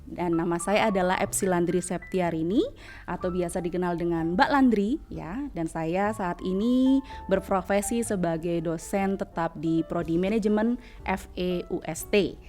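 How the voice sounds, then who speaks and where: A woman's voice: lowish voice, moderate pace, a little mellow, faint music behind. A woman hosting a podcast, chatty, with many fillers. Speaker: podcast host, lecturer.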